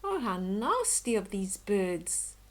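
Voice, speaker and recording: An older woman reading a children's story aloud slowly and expressively, with gentle chatty asides to young listeners. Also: medium pitch, very animated.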